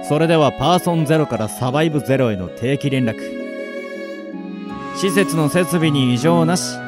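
A man playing a long-lived artificial caretaker in a radio drama, calm, devoted and casually philosophical. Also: a little high, normal speed, lightly acted. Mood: calm, reflective. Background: music.

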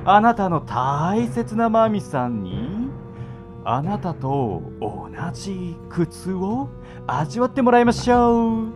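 High, swooping, gleeful sadistic torturer. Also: male voice, sing-song, slow pace, music in the background, heavily acted. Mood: coldly polite. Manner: sing-song mock politeness with giggling. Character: gleeful sadistic male torturer, mock-polite and playful. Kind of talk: amateur radio drama acting.

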